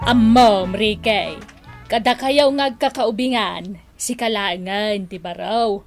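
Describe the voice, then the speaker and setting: A woman's voice: medium pitch, slow pace, faint music behind. A woman acting a worried, earnest elderly mother pleading with her son in a radio drama.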